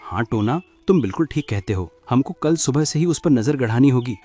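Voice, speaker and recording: A man's voice: medium pitch, animated, brisk, warm tone, faint music behind, natural voice. A man voicing a suspicious, questioning animal disciple in a cartoon, with a doubting, conspiratorial manner. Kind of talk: Hindi cartoon story acting.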